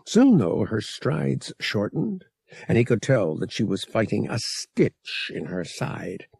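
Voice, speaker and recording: A male audiobook narrator tells an adventure story in a low, husky voice. He takes his time and swings widely in pitch for drama, with a dry, close sound. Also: wildly swooping pitch, takes it slowly, a little mellow, dry recording. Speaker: audiobook reader.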